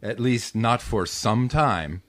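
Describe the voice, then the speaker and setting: A man's voice: mid-range voice, slow, natural voice. A man playing an officious, falsely genteel department store clerk in a 1940s-style radio comedy, fussy and pompous. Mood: haughty, irritated.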